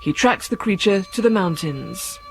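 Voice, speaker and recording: A man's voice: sits high, lively intonation, moderate pace, faint music behind. A man narrating a scripted recap for a radio drama in a dramatic storytelling style.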